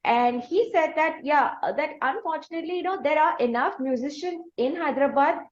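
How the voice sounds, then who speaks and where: A woman's voice: high-pitched, fairly quick. A woman with an Indian accent hosting a conversational interview, asking long, hesitant, circling questions. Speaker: podcast host and interviewer. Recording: dull lo-fi audio.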